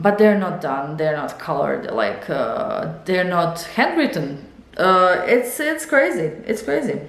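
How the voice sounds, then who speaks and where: A young woman with a Serbian accent, a Serbian native speaker, rambling and thinking out loud in a casual studio vlog, with many fillers and restarts. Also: on the low side, reverberant. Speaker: illustrator and author. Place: home art studio.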